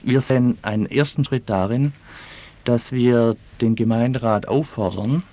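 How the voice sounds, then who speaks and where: A man with a medium-pitched voice answers as an interviewed activist. His delivery is deliberate and formal, broken by small pauses as he builds each point. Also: darkish tone. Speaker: environmental activist being interviewed.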